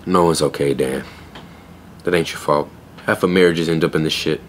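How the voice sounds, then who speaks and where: An actor playing a wry, blunt young pet store clerk in an audio play, deadpan and sardonic. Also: male voice, very deep voice, normal speed, a little hiss or hum. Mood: firm, frank. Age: young adult. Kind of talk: naturalistic audio play acting.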